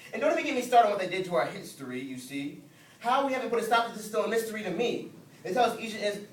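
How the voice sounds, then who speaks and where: A man performing slam poetry as a spoken word poet, with a rhythmic, rhyming, emphatic delivery. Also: high voice. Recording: roomy, distant mic, faint noise.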